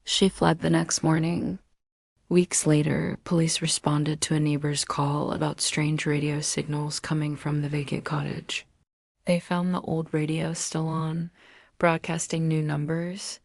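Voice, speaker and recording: A woman storyteller hosting and narrating a scripted horror story in a measured, deliberate way with dramatic pauses. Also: low-pitched, flat delivery, gravelly, a little mellow, no echo, clean studio sound. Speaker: storyteller and channel host.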